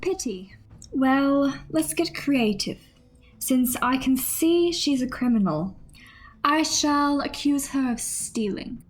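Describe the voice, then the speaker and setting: Prim female adventurer, high voice, formal and composed, radio drama. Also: lively intonation, slow, soft background music, rough recording. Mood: cool, scheming. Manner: formal, precise diction. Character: prim, composed, quietly ruthless adventurer woman.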